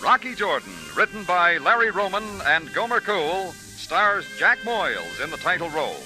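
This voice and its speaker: A man's voice: high-pitched, sing-song, normal speed, over music, poor audio. A man announcing on vintage radio, reading sponsor spots and credits with polished, emphatic salesmanship. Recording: old radio broadcast recording.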